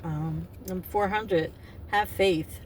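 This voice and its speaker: Woman, 50s, card reader. Lowish voice, chatty and rambling, a little far from the mic with faint hiss. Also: steady tone, slow pace, a little mellow. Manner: rambling, self-interrupting, chatty. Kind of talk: casual spiritual card reading. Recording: distant mic with faint noise.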